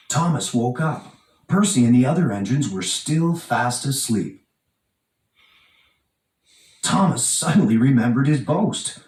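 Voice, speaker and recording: A man reading a children's storybook aloud with expressive, dramatic emphasis. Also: medium pitch. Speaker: storybook narrator. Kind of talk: animated read-aloud children's storybook narration. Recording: roomy, echoing, distant from mic.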